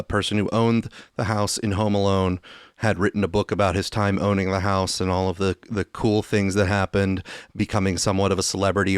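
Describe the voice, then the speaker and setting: A man's voice: sits low, smooth, no room sound, clean studio sound. A man hosting a podcast, talking casually in a candid, wordy way and swearing freely. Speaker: podcast host. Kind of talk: casual chat, setting up news clips.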